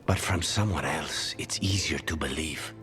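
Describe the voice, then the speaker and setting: An actor playing a brooding, demon-possessed assassin in a video game, grave and measured, his phrases sometimes falling hushed. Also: extremely low pitch, faint music behind. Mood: grim resolve. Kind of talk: video game cutscene acting.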